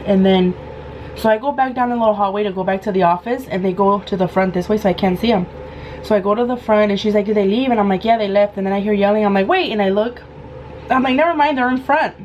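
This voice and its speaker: A woman in her thirties with a hoarse, sore throat, telling a casual storytime vlog and acting out the quoted lines. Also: mid-range voice, quick pace, dull audio, slight background noise. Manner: animated, acts out quoted lines. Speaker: vlogger.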